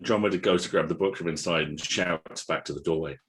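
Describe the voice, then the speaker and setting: A man with a low, husky voice narrates a script. He reads out stage directions for an audio drama. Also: fast, a bit bright.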